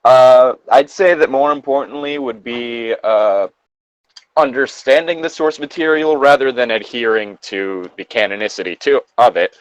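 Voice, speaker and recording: A man's voice: medium pitch, moderate pace, clear, resonant tone, no echo, lo-fi sound. A man giving incredulous, opinionated commentary in a casual, hesitant way, sometimes reading aloud. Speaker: co-host reacting to fan fiction and its reviews.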